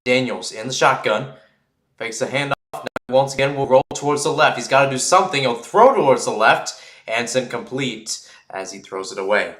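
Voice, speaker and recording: A man gives live radio-style football commentary in a mid-pitched, sharp and forceful voice. He is excitable on the action and casual between plays. The sound is noticeably roomy and echoey. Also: moderate pace. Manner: excitable, calls surging plays loudly then drops back to casual asides. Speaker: play-by-play sports commentator. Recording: roomy echo on the voice.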